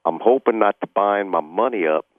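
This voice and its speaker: A man's voice: on the low side, moderate pace, no echo. Hesitant man of sixty-five on a phone line. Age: 60s. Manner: hesitant, many "uh" fillers, throat clearing. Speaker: caller. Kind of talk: call-in question on a radio show.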